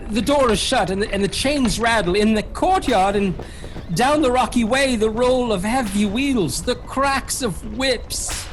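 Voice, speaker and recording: A frightened young man alone in a castle in a horror radio play. He speaks slowly, stumbling and repeating himself in dread. Background ambience sits behind the voice. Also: very high voice. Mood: fearful. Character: terrified young man trapped in castle, writing his journal. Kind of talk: horror radio drama monologue. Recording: clear background noise and ambience.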